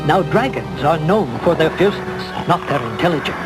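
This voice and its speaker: A man narrating as the storyteller of an animated fairy tale, in scripted storybook style. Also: fairly high pitch, normal speed, natural voice, low-quality recording. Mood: storytelling. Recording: loud music behind the voice.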